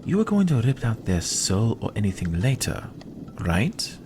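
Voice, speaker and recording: Man playing a low, possessive, sardonic vampire. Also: huge pitch swings, measured pace, darkish tone, slight background noise, exaggerated voice. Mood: wry, relenting. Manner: sardonic, commanding. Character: possessive, dry-witted male vampire. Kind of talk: ASMR roleplay audio drama.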